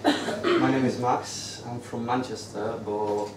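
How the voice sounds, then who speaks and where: A man's voice: mid-range voice, steady tone, slow pace, very noisy. A young man from Russia, raised partly in Manchester and Israel, speaks hesitantly as a guest musician introducing himself in a pub. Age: young adult. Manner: hesitant, unsure, with short questions. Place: small crowded pub, indoors. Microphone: far camera, no mic. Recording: roomy pub echo, distant, loud chatter.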